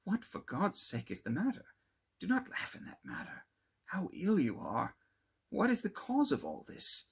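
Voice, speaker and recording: Man playing an earnest, alarmed gentleman, pitched high. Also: huge pitch swings, normal speed, creaky, breathy edge, exaggerated voice. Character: concerned young gentleman, alarmed and earnest loyal friend.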